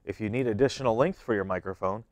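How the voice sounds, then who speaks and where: A man's voice: lowish voice, fast, no room sound. A man in his thirties giving plain, step-by-step how-to instructions as an equipment demonstrator.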